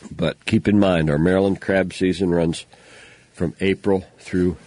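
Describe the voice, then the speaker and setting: Older guy on local radio, low voice, stuffed up, rambly and folksy with lots of uhs. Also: steady tone, measured pace, darkish tone, no room sound. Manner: rambling, folksy, many "uh" fillers and restarts. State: stuffy nose. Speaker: radio show host. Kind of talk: casual on-air talk, reading news items.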